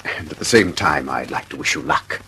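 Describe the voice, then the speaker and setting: An actor playing a brusque, impatient, powerful British official in a radio drama, with a husky voice and a barking, commanding manner. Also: male voice, very deep voice, expressive, moderate pace, pushed and bright, a little hiss or hum, full character voice. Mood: grave. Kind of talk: mid-century radio drama acting.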